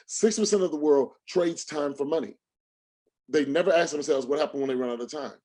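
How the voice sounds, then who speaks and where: Male motivational coach, slightly high voice, emphatic preacher-like delivery, leaning on repetition and rhetorical questions for a livestream pitch. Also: brisk, dark tone. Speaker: motivational speaker and financial trading coach. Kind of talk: motivational sales talk on a livestream.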